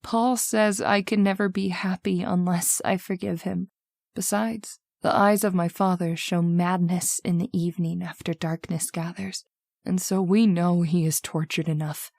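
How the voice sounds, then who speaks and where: A woman's voice: fairly low pitch, fairly even intonation, unhurried, creaky, darkish tone, no echo, studio quality. A woman playing a haunted young wife in an old-time radio horror drama, with an earnest, dramatic delivery. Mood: somber, haunted.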